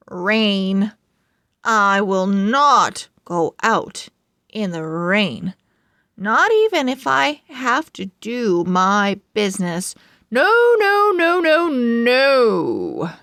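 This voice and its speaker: A woman voicing a proud, excitable little male pug telling his diary in a children's storybook, with dramatic, earnest phrasing. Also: a little high, extremely slow, dry recording, studio quality, exaggerated voice. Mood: dramatic dismay. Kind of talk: children's storybook character narration.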